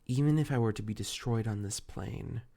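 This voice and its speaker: A man playing a devoted, ancient fey familiar spirit in an intimate roleplay audio, speaking formally and tenderly with measured, archaic diction. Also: medium pitch, vocal fry, warm tone. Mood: earnest, devoted.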